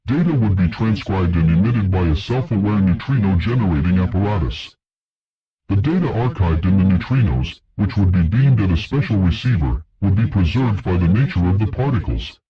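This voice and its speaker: A man's voice: low-pitched, lively intonation, normal speed. A man narrating a fictional government project report in a formal, detached, official manner. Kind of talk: scripted documentary narration, analog horror. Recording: dull lo-fi, radio-like narration track.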